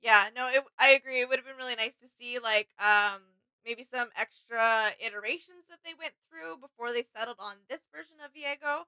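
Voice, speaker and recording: A woman's voice: a little high, a little fast, pushed and bright, clean tone, rough recording. A woman co-hosting a reaction video, chatting casually and agreeably in an informal way. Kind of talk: casual chat about game artwork.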